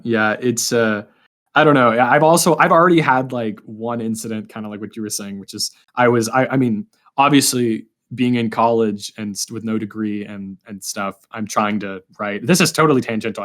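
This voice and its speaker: A man's voice: on the low side, a bit bright. A man in his early twenties hosting a podcast in a casual, informal, rambling way. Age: early 20s. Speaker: podcast host.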